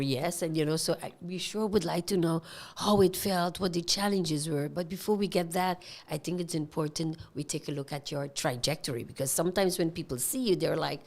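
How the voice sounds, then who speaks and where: A woman hosting a casual education talk show, upbeat and quick to affirm her guests. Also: lowish voice. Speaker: host. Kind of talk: casual chat.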